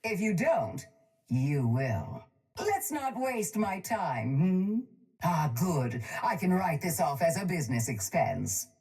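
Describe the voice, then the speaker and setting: An actress playing a cold, posh, ruthless crime-boss tycoon in a video game, speaking in a haughty, deliberate, menacing way. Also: female voice, lowish voice, very animated, a little mellow, full character voice. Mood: cool, menacing. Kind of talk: video game character voice lines.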